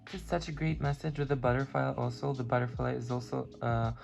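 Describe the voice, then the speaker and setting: Gravelly halting card reader, music behind. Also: medium pitch, flat delivery. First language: not English. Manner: halting, many fillers, searching for words. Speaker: tarot and oracle card reader. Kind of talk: pick-a-card spiritual reading. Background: clear background music.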